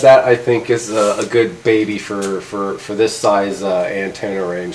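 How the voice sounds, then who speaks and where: A middle-aged man casually hosting a gear test vlog, talking in a halting, informal way. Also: fairly low pitch, darkish tone, rough recording. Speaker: host of a radio gear review channel. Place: outdoors by a garage door, later a garage workshop. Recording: background ambient noise.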